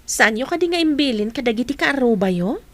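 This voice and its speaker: A woman's voice: a little high, expressive, brisk. A woman playing a kind, reassuring young woman with an Ilocano accent in a radio drama, respectful to her elders. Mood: friendly.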